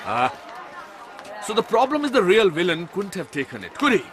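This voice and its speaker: An actor playing a pompous, blustering comic sidekick in a radio drama, excitable and self-important. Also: male voice, high-pitched, normal speed. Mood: irritated, blustering.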